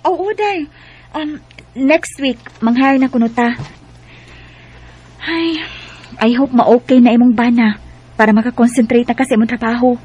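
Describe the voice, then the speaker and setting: An actress playing a friendly, concerned office coworker in a radio drama, chatty and husky. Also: female voice, fairly high pitch, a little slow, long pauses, a little mellow, muffled recording.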